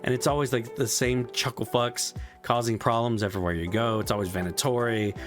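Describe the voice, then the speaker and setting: A man's voice: mid-range voice, fairly even intonation, a little fast, music in the background. A man giving a frustrated, casual spoken game review, swearing often as he rants. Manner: frustrated ranting, frequent swearing.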